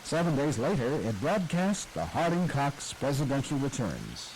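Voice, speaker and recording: A man's voice: medium pitch, sing-song, moderate pace, darkish tone, slight background noise, rough recording. A man hosting a program on radio history, giving a scripted, nostalgic, storytelling narration.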